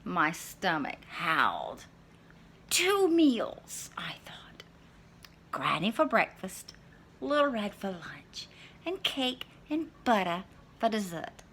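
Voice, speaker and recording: A woman's voice: mid-range voice, big pitch swings, slow, a bit bright, breathy edge, heavily acted. A woman voicing a hungry, sly male wolf who tells his own storybook tale in a dramatic, scheming, wry way. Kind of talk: storybook read-aloud in character.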